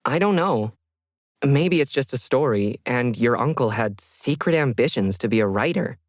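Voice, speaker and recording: A man's voice: medium pitch, lively intonation, moderate pace, no room sound, studio quality, lightly acted. A man voicing a sceptical woman in an audio story, speaking in a casual, doubting way. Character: sceptical woman friend offering a plain explanation.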